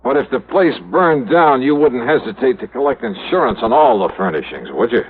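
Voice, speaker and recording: An actor playing a dogged, sceptical private investigator in a 1950s radio drama, with a clipped, wry manner. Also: male voice, mid-range voice, very animated, brisk, slight background noise. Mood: suspicious, needling. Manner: clipped, wry, hard-boiled questioning and narration. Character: dogged, sceptical male private investigator narrating his case. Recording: tinny vintage radio recording with music.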